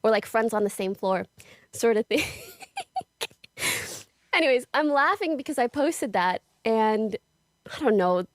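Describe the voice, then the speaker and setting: Giggly chatty woman, bright high voice. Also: big pitch swings, slow, long pauses, dry recording, clean studio sound. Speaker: streamer. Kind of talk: casual chat.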